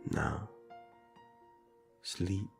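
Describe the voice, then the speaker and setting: A man voicing a tender prince in a romantic audio roleplay, murmuring gently and soothingly to his beloved. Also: very slow, soft background music. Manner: hushed, gentle, reassuring.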